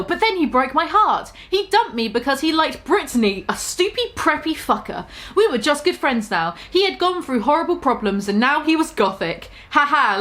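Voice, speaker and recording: A woman's voice: high voice, fairly bright tone. A woman reading a story aloud as comic dramatic narration, theatrical and amused, barely keeping a straight face.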